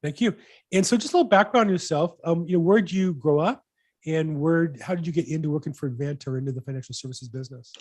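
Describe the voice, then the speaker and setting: A man's voice: on the high side, fast talker, darkish tone. A man hosting a weekly real estate video call, upbeat and enthusiastic, asking long rambling questions. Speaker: host, real estate broker. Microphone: video call mic.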